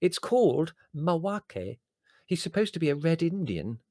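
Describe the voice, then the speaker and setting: A man's voice: fairly high pitch, big pitch swings, measured pace, darkish tone, no echo, studio quality, pitched above the performer's own voice. A man voicing a coy, fussy, earnest woman cousin in a storybook, emphatic and confiding. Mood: confiding, ominous. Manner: gushing, confiding, emphatic, easily exasperated.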